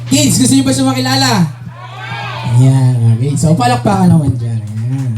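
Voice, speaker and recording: A man's voice: on the high side, sing-song, normal speed, darkish tone, echoey room, faint music behind, some chatter behind. A man hosting a live children's puppet show with bantering, repetitive comic patter. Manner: bantering, repeats filler phrases and questions.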